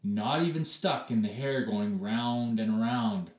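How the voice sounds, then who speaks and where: A man reading a children's story aloud expressively, with dramatic, deliberate pauses and emphasis. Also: medium pitch, recorded from a distance. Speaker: storybook reader. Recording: echoey room, away from the mic.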